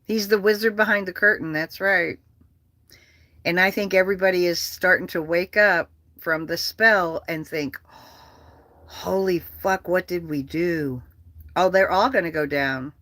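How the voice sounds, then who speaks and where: A livestream host chatting casually and rambling, with an animated, profane manner and a habit of repeating phrases. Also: medium pitch, a little slow, no echo.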